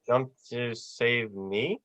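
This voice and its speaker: A young performer playing a despairing, sardonic family man in a radio play, with halting, dry delivery. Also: mid-range voice, a bit bright. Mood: dazed. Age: teens or young adult. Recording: dull, lo-fi video call audio.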